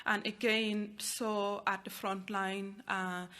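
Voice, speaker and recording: A woman's voice: mid-range voice, level tone, slow pace, pushed and bright. A middle-aged woman with a Ugandan accent, explaining her work as an interview guest. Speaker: guest, anti-trafficking worker.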